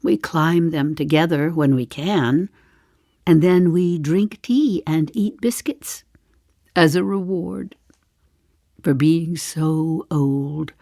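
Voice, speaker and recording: An actress in her seventies playing a darkly comic old woman in an audio drama monologue, confiding in a deliberate way with dry understatement. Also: sits low, mellow, dry recording. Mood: wry, musing. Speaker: actor in a one-woman play.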